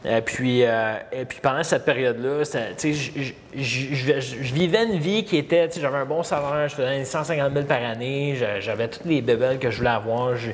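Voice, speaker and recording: Halting Québécois man telling his story. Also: medium pitch. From Montreal. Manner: halting, many fillers and repeats. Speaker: podcast guest. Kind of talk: casual interview storytelling. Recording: long reverb, faint background noise.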